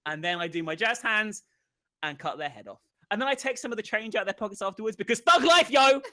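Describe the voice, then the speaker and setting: Bright high-pitched joking man. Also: expressive, quick pace. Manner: boastful, playful, laughing often. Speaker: player in an improv card game.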